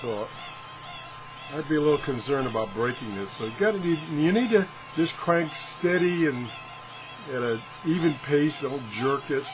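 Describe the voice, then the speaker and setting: A man's voice: a little high, moderate pace, over music, rough recording. A man hosting a casual, hands-on product demonstration in a home workshop, talking in a rambling, folksy, self-deprecating way. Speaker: video host reviewing gadgets. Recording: steady fan and room noise.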